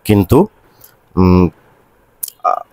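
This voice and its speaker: A man teaching a literature lesson, recapping in a halting, explanatory way. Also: sits low, little pitch movement, darkish tone, clear, resonant tone, no room sound.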